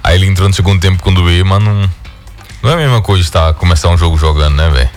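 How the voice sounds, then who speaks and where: A man's voice: low voice, very fast, faint music behind. A Brazilian man hosting a sports radio show, chatting casually and with a lot of slang. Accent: Brazilian Portuguese. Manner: relaxed, slangy banter with frequent fillers.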